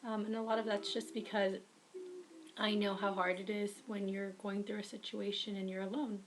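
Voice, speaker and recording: Raspy young woman on a webcam. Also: mid-range voice, level tone, moderate pace. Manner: earnest, talks to viewers as a friend. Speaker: writer giving advice. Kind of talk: casual advice vlog.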